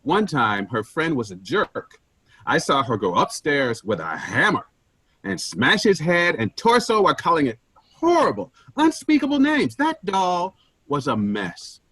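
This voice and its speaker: An aggrieved, sarcastic male office worker, acted by a man in a play reading. His husky voice moves up and down with lots of animation. The recording is close and dry. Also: on the high side, huge pitch swings, unhurried, a bit bright, no echo, full character voice, rough recording.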